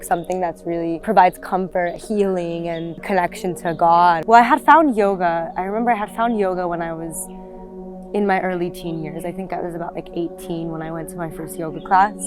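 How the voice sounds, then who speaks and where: A woman's voice: lowish voice, a little mellow. Reflective young woman telling her story. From South Florida, United States. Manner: reflective, with frequent fillers and restarts. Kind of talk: personal storytelling interview. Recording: music playing behind the voice.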